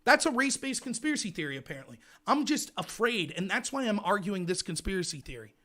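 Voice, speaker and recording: A man hosting a live political show, ranting in a loud, indignant voice. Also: sits high, very animated, fast talker. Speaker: livestream show host. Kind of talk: heated political commentary.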